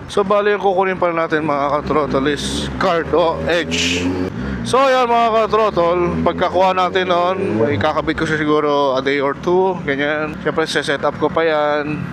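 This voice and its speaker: A man's voice: a little high, animated, moderate pace, a crowd in the background. A Filipino man chatting casually and playfully as a motovlog host out on the road, mixing in English phrases. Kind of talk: casual vlog chat. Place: outdoors, riding a motorbike on the road. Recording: noisy outdoor road and vehicle noise. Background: traffic and vehicle noise.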